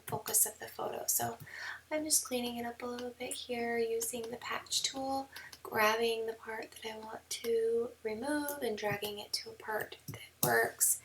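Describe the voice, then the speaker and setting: A woman photographer giving a relaxed editing tutorial, hoarse and half whispering because her voice is going, with many ums. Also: fairly high pitch, distant mic. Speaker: newborn photographer. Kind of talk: screen-recorded editing tutorial. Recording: mic set back, faint background noise.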